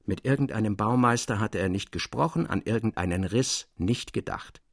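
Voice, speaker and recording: A man narrating a classic literary tale in a measured, articulate way with expressive emphasis. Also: lowish voice, gravelly, no echo, clean studio sound.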